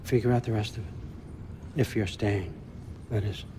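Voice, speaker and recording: A man's voice: on the low side, fairly even intonation, moderate pace, noisy background, lightly acted. A man playing a sly, cagey patriarch in a video game, coaxing and self-assured, with clipped phrasing. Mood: sly, confident. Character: sly, commanding, cagey patriarch, smooth and testing. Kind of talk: dramatic video game cutscene acting.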